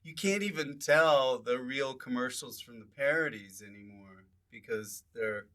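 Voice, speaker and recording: A man's voice: mid-range voice, measured pace, fairly bright tone. A man hosting a podcast in casual comic chat, rambling and bantering as he interjects. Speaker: podcast host.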